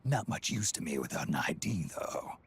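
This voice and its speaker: Game soldier, grizzled and curt, a very deep gravelly growl. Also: male voice, big pitch swings, normal speed, full character voice. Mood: grim. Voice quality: gravelly, terse. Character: grizzled veteran male soldier, terse.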